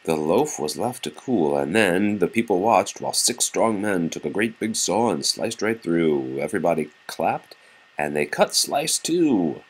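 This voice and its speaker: A man reads a picture book to young children in a very low voice with a husky grain. His delivery is animated and moves freely up and down as he tells the story, with a patient, casual way of speaking to his listeners. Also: measured pace.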